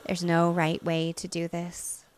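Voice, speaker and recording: Slow, soothing woman guiding relaxation. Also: on the low side, completely flat delivery, slight background noise. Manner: soothing, gentle, unhurried with long pauses. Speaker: hypnotist and host of a guided relaxation. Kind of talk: guided relaxation and hypnosis narration.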